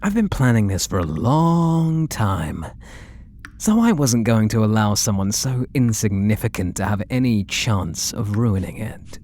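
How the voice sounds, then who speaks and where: A British man voicing an obsessed, possessive artist and menacingly tender lover in a breathy, theatrical ASMR roleplay, drifting into whispers. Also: lowish voice, very animated, unhurried, a little mellow. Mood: possessive, contemptuous. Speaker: audio roleplay voice actor.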